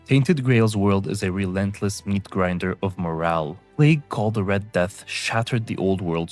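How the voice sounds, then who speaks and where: A young man from Syria narrates a scripted video essay in a very low voice. His delivery is measured and solemn. Faint music plays beneath him.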